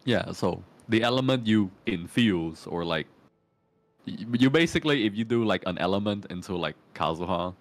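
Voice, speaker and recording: A man's voice: on the low side, animated, moderate pace. A man giving game advice in casual, informal chat with a wry, teasing manner. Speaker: guest advising the streamer on the game.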